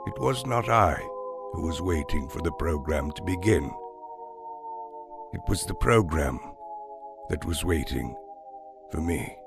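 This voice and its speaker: A man narrating scripted first-person literary fiction in a measured, deliberate, formal way. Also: very deep voice, animated, gravelly, music in the background. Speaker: storyteller narrator of an audio fiction series.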